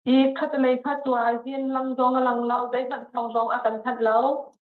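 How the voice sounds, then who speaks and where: A woman working as a court interpreter over a phone line, speaking with hesitant pauses and fillers. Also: sits high, level tone. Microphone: phone call. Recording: tinny echoey phone line.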